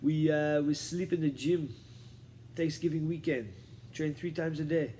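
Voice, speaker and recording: A man's voice: on the high side, fairly even intonation, measured pace, warm tone, a little hiss or hum, pitched above the performer's own voice, close to an everyday voice. A man imitating his Romanian fight coach, putting on a Romanian accent and talking bluntly. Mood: matter-of-fact. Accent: put-on Romanian accent. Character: gruff older male Romanian fight coach.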